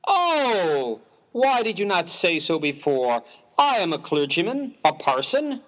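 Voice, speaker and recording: A man's voice: high voice, big pitch swings, slow, a bit bright, full character voice. A man playing a prim, bookish, easily bewildered clergyman in a dramatized comic story, speaking formally and with pompous precision. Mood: solemn, relieved. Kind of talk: dramatized reading of a humorous story.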